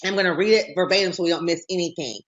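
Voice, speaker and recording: A woman's voice: fairly low pitch, rapid-fire. Bubbly woman hosting a talk show. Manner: bubbly, excitable, laughs often. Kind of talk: casual interview chat. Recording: dull lo-fi sound.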